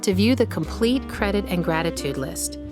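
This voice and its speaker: A woman's voice: on the low side, animated, moderate pace. A woman host reading scripted closing credits in narration style. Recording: music under the voice. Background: music with guitar.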